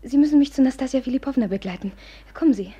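A woman's voice: sits high, racing, mellow, husky. An actress in a radio drama playing a proud, headstrong young noblewoman who speaks with commanding impatience. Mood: imperious, resolute. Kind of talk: German radio drama acting.

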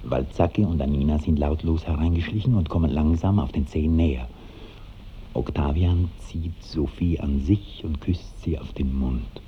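An actor serving as the composed narrator of a radio drama, reading scripted stage directions. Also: male voice, rumbling low, normal speed. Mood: descriptive. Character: composed male narrator of a romantic comedy. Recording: dull old broadcast, faint hiss.

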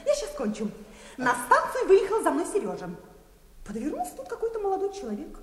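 Very high-pitched, gushing young lady. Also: huge pitch swings, brisk, heavily acted. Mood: excited chatter. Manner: gushing, theatrical, laughing chatter. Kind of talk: classic comic radio play acting. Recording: echoey hall reverb.

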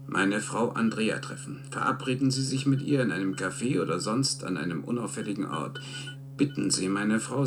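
A man's voice: low-pitched, gravelly, heavily acted. An actor playing an embittered, desperate male professor in a German science-fiction radio play, insistent and strained. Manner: insistent, strained, halting outbursts.

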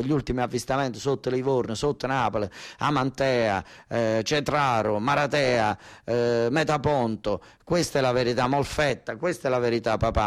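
A man's voice: mid-range voice, lightly acted. An actor playing an agitated southern Italian son, a worker from Basilicata pleading with his father in a theatrical dialect monologue, repeating himself and stumbling over words. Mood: indignant, urgent.

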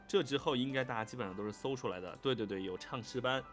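A man co-hosting a fan quiz livestream, chatting casually and echoing short words in quick bursts as he reacts to answers. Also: mid-range voice, faint music behind. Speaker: co-host of a fan quiz livestream for an audio drama.

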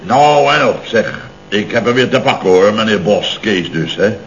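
An actor playing a boastful, rambling, scatterbrained Dutch boss of a building firm in 1970s radio comedy style. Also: male voice, on the low side, lively intonation, moderate pace, lightly acted. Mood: blustering, grumbling. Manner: rambling, emphatic, repeats himself. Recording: dull, noisy old radio recording with hum.

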